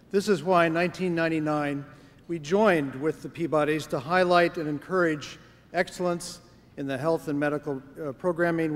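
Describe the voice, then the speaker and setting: A man giving a formal, measured prepared speech as an award presenter. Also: fairly high pitch. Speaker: award presenter, foundation executive. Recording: echoey large hall.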